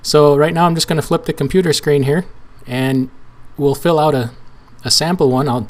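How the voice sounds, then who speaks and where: A man with a hoarse, weak voice walking viewers through filling out a form on screen, in a casual, rambling way with restarts and fillers. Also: a little high, fairly even intonation, normal speed. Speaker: trucking channel host. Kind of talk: screen-share tutorial walkthrough.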